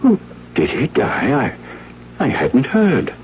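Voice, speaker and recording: Village priest, 1970s radio play: deliberate, very expressive, admonishing yet easily flustered, slightly hissy sound. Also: male voice, medium pitch. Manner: hesitant, muttering, admonishing. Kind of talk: 1970s radio drama acting. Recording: dull, noisy 1970s radio broadcast.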